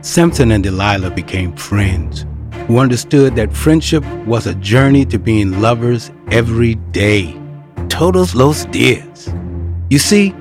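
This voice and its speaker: A man narrating an audio drama as a storyteller, with wry, colloquial asides. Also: mid-range voice. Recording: music bed behind narration. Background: music.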